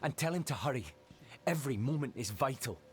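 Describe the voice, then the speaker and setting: A man's voice: medium pitch, lively intonation, fairly quick, natural voice. A man playing a worried, earnest servant in a fantasy video game, speaking with urgency. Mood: urgent, pleading. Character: worried, earnest manor servant pleading for aid. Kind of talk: dramatic video game acting.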